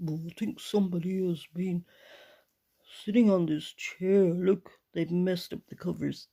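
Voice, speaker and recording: A woman voicing a big, grave father bear with a gruff, lowered voice and a weighty, puzzled manner. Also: steady tone, unhurried, warm tone.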